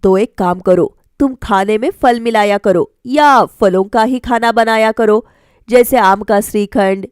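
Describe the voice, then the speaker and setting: A woman's voice: fairly high pitch, a little slow, darkish tone, clean tone, no echo, studio quality, natural voice. A woman voicing a kindly parent of a grown daughter in a family cartoon, giving direct advice. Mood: kindly, advising.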